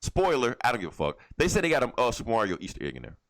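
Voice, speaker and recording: A man's voice: medium pitch, wildly swooping pitch, very fast, a bit bright. A man co-hosting a podcast, talking casually and bluntly, with plenty of swearing and an irreverent manner. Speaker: podcast co-host. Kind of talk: casual, profane movie chat.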